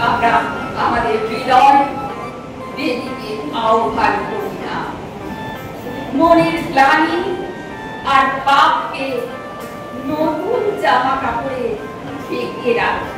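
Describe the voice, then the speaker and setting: A woman giving a dramatic poetry recitation in measured, declamatory phrases with pauses. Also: sits high. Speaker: recitation performer. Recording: very echoey, distant mic, music behind.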